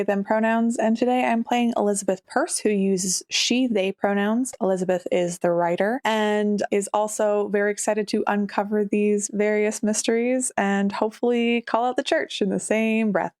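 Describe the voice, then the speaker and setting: A woman's voice: mid-range voice, fairly even intonation, moderate pace, hardly any pauses, darkish tone, dry recording, clean studio sound. A woman playing a tabletop roleplaying game, talking casually as one of the players. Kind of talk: casual introduction and in-game chat.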